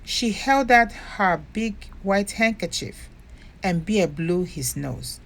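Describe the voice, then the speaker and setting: とてもゆっくり読み聞かせをする女性. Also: female voice, mid-range voice, expressive, slow. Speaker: storytime host reading a children's book. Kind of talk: expressive children's picture-book narration.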